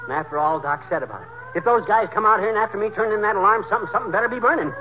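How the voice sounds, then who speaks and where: An old, muffled and hissy radio comedy recording. a hapless, scheming husband, excitable and blustery, with a high voice that swings widely as he argues his case. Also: racing. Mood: panicked. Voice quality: animated, excitable delivery. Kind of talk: 1940s radio comedy acting.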